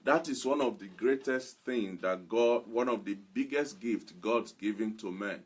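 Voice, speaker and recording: A man giving a motivational sermon, speaking emphatically and repeating phrases deliberately for weight. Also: lowish voice, slightly rough. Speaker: motivational preacher.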